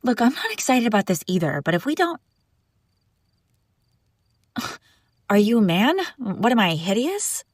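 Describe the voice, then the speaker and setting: A woman playing a sharp-tongued young princess bride who is secretly lovesick, in an intimate audio roleplay, teasing and indignant with sighs and broken-off phrases. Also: medium pitch, sing-song, a little slow, long pauses, no room sound, clean studio sound. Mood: frustrated, wounded. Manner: teasing, indignant, sighing, breaking off mid-sentence. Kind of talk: intimate scripted audio roleplay addressed to listener.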